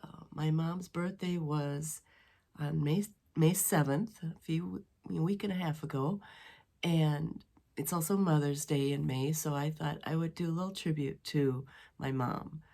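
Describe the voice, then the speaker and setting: Woman, middle-aged, deep voice, congested with a cold, rambling casual chat, home dining room. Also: steady tone, measured pace, dark tone. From western Wisconsin. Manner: chatty and rambling, with many ums and restarts. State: stuffy head from a cold. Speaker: knitting podcast host.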